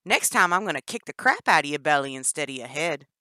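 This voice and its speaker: A loud, brash female captor with a Southern twang and an explosive temper, put on by a woman reading a storybook. The voice is low and bright-edged, recorded dry and close. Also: big pitch swings, fast talker, no room sound, heavily acted. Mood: threatening. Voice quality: brash and shouting. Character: wiry volatile female kidnapper, explosive temper.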